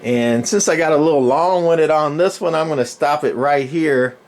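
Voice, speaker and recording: A man's voice: a little high, normal speed. A man giving a casual, unscripted show-and-tell as a hobby collector, rambling and hesitant with plenty of enthusiast jargon. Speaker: collector presenting his radio collection.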